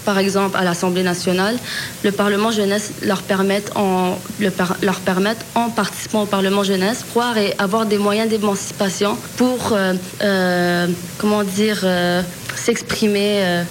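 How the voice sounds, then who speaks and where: A woman's voice: medium pitch, flat delivery, moderate pace, slight background noise. A young woman in her twenties answering interview questions earnestly, with many fillers and restarts. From Lebanese heritage. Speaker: student guest.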